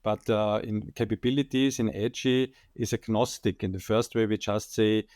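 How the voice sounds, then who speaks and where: A man's voice: on the low side, steady tone, moderate pace, a little mellow, no echo, clean studio sound. A man with an Austrian accent, a guest on a podcast interview, explaining ideas with plenty of fillers and the odd joke, speaking into a condenser microphone. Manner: explanatory, joking, many fillers. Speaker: guest enterprise architect.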